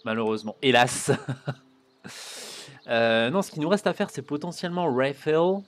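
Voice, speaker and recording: A man's voice: mid-range voice, lively intonation, moderate pace, soft background music. A man streaming a video game with casual, chatty commentary, muttering and swearing as he plays. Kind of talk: casual let's-play commentary.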